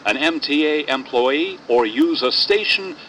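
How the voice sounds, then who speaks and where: A man reads scripted subway passenger announcements in a bright, crisp-edged voice with lively rises and falls. Faint train noise sits behind him. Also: on the high side, unhurried. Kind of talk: scripted recorded public announcement.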